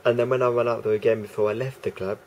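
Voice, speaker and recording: A man with a croaky voice talking casually to camera as a vlogger, rambling and hesitant with many fillers and restarts. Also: mid-range voice, steady tone, very fast, a little mellow, slight background noise.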